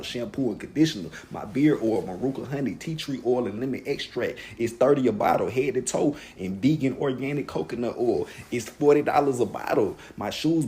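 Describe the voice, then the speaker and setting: A man's voice: medium pitch, creaky, a little mellow. Sassy, demanding man on a rant. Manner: sassy, demanding, repeats a catchphrase. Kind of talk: comedic social media rant.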